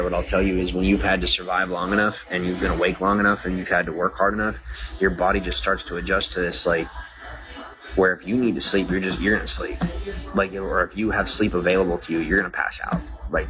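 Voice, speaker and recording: A man's voice: low-pitched, level tone, fast, over music. A man chatting casually to a livestream audience in a rambling, filler-heavy way, recorded outdoors on a noisy street with passing traffic. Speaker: streamer.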